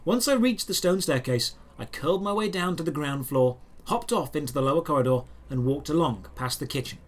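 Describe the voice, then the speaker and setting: A man narrating a scripted first-person fantasy story in a measured, descriptive storytelling manner. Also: on the high side, lively intonation.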